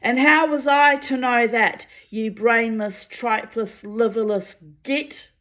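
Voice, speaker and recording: A woman reading aloud, voicing a gruff, rustic giant outlaw man who is quick to anger. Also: mid-range voice, natural voice. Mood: furious. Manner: growling, short-tempered, rustic speech.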